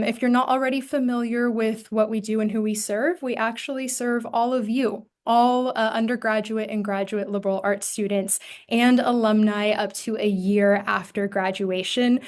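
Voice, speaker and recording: A woman career coach welcoming attendees to an online panel, upbeat and steady with frequent fillers, on a video call. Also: on the high side, moderate pace. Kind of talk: event welcome and announcements. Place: home or office on a video call.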